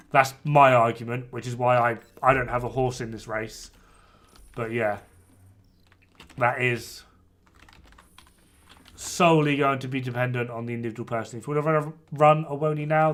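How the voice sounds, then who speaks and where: A man in his thirties talks casually as he streams a PC game. His voice sits at an average pitch. He is informal and blunt, speaking loosely to fellow players and swearing freely. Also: moderate pace, a bit bright. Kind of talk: casual gameplay chat with other players. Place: indoors at a gaming PC.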